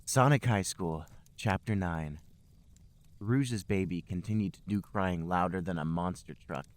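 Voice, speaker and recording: A man's voice: low voice, unhurried. A man co-hosting a podcast, narrating amateur fan fiction aloud.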